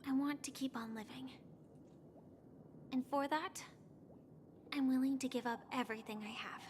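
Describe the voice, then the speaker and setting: An actress voicing a dry, unimpressed young woman traveler, the player character of a video game, with a deadpan delivery. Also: sits high, normal speed, slight background noise, lightly acted. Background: game music.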